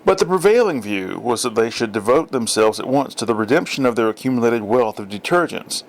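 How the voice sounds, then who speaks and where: Man narrating a story, medium pitch. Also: animated, a little fast, poor audio. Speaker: audiobook reader.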